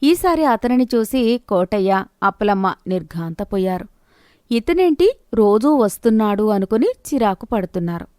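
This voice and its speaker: A woman's voice: mid-range voice, big pitch swings, normal speed, darkish tone, dry recording, clean studio sound. A woman narrating a scripted moral story in a storybook style.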